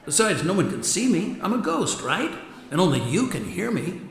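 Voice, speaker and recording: An actor playing the teasing, goofy ghost of a dead husband in a radio drama. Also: male voice, sits high, sing-song, moderate pace, vocal fry, exaggerated voice. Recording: long ghostly reverb, music behind.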